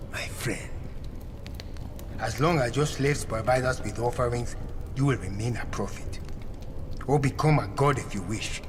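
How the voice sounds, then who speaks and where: A man's voice: mid-range voice, background noise, lightly acted. An actor playing a menacing, demanding man in a video game cutscene, speaking with cold threat. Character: menacing, demanding man bargaining with a cult prophet. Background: music.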